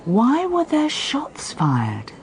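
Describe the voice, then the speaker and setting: Slow, swooping, inquisitive sleuth's sidekick. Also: female voice, on the high side, wildly swooping pitch, heavily acted. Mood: probing, impatient. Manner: probing, arch, blunt. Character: sharp, inquisitive woman sidekick to detective, easily bored. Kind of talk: radio drama acting.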